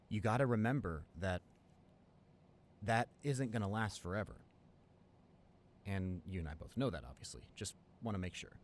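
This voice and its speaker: A man's voice: fairly low pitch, slight background noise, close to an everyday voice. A man playing a devoted, hopeful college boyfriend in an improvised ASMR roleplay, talking earnestly and affectionately to a partner. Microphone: close studio condenser microphone.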